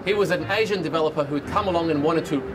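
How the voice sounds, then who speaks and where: A man's voice: a little high, racing, over music. A man in his forties with an Australian accent, leading a heritage building tour outdoors on a rooftop and telling its stories with enthusiasm. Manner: storytelling, enthusiastic, lists places in rhythmic runs. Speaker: tour guide. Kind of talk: guided heritage tour talk. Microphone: lapel.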